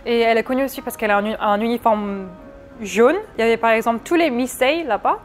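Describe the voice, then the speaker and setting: A woman's voice: a little high, quick pace, faint music behind. A woman in her twenties from France, chatting candidly in an interview and often restarting her sentences. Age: 20s. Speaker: interview guest. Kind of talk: casual interview talk.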